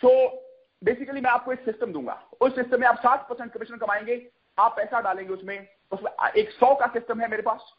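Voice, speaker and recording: A man gives an emphatic, repetitive sales pitch on a conference call, mixing in English phrases and asking questions that he answers himself. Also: fairly even intonation, brisk. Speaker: network marketing promoter hosting a conference call. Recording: dull, lo-fi conference call audio.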